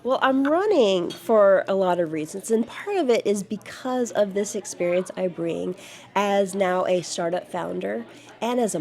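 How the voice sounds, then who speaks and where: A woman's voice: mid-range voice, vocal fry, dark tone. Woman, 50s, US-raised, earnest candidate in interview; restarts, fillers. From United States, grew up in Georgia. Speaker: city council candidate. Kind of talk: interview answers.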